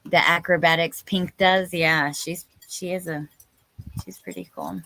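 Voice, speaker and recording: A woman's voice: low-pitched, very animated, unhurried, fairly bright tone, slight background noise, poor audio. A woman in her thirties hosting a live stream, chatting informally and exclamatorily with viewers and reading out their comments.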